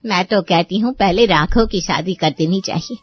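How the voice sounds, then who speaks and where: A woman's voice: mid-range voice, normal speed, husky, dull audio, close to an everyday voice. A woman playing an exasperated middle-aged mother in a cartoon story. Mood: exasperated, nagging. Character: exasperated middle-aged mother scolding her grown children. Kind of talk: Hindi cartoon story voice acting.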